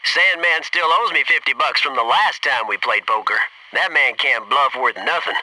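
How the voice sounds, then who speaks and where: An actor playing a cocky, wisecracking young Navy SEAL, giving clipped, urgent combat callouts in a video game. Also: male voice, mid-range voice, moderate pace, distant mic, noisy background, close to an everyday voice. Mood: keyed-up, joking. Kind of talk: military game combat barks and radio callouts.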